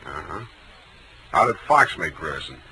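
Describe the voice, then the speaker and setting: Wry hard-boiled 1940s radio detective. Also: male voice, a bass voice, big pitch swings, measured pace, vocal fry, pushed and bright, a little hiss or hum, heavily acted. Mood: probing, skeptical. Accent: American. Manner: dry, wisecracking. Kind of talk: 1940s radio acting with first-person narration. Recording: old 1940s radio recording, music behind.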